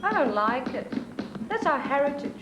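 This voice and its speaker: A woman's voice: high voice, sing-song, moderate pace, reverberant, dull audio, over music. A woman playing an earnest, indignant defender of her heritage in a satirical radio play.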